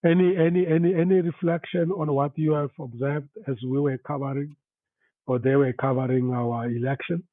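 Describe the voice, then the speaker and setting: Rough-voiced man on a phone-like line. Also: fairly high pitch, fairly even intonation, dry recording. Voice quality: slightly rough voice from recent flu. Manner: halting, repeats words. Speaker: instructor leading an online training session. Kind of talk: informal lecture with questions to participants. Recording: thin phone-like call audio.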